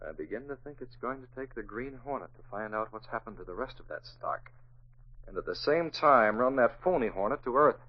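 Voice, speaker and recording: Man acting a suave, animated young publisher. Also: mid-range voice, brisk, lightly acted. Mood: pondering. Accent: American. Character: suave young newspaper publisher, secret vigilante. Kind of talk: 1940s radio drama acting. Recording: muffled, hissy vintage 1940s radio recording.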